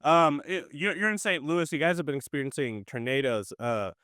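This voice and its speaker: A man's voice: a little high, moderate pace, a bit bright, no room sound. Casual male podcast host, dry sound. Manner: informal, chummy, sweary. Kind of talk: casual interview chat.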